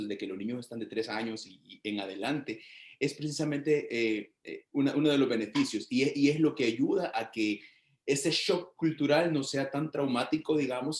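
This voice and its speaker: A man speaking in a roomy, echoing space, explaining his findings at a mid pitch. His delivery is measured and careful, and he often restarts a phrase before carrying on. The tone is academic and explanatory. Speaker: researcher presenting findings. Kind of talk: academic discussion. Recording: roomy echo.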